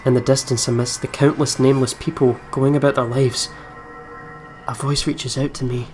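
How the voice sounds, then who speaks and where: A man hosting a gaming video, reading story text aloud and thinking out loud in a chatty way. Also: medium pitch, flat delivery, moderate pace, soft background music.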